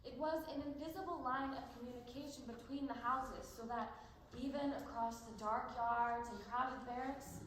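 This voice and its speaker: A young woman acting in a one-act stage play as a gentle, earnest, anxious teenage girl prisoner. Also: sits high, fairly even intonation, moderate pace, close to an everyday voice. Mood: solemn narrating. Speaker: amateur stage actor. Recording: very echoey, distant, noisy stage recording.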